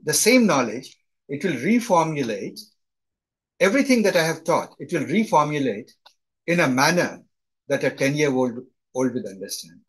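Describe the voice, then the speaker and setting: Man, Indian accent, middle-aged, slightly high, husky, video call lecture. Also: rough recording. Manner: conversational, often tags "right?". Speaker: instructor. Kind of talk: live online lecture.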